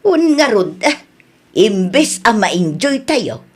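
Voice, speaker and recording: A woman playing an earnest, expressive family mother in a radio drama, with an Ilocano accent. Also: medium pitch, slow pace, breathy edge, exaggerated voice. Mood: exasperated.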